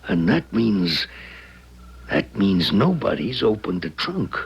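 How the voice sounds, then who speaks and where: A man playing an edgy, bossy small-time crook in a radio drama, gruff and curt. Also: sits low, big pitch swings, takes it slowly, exaggerated voice. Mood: alarmed, curt. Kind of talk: 1970s radio drama acting. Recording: noisy 1970s radio drama recording.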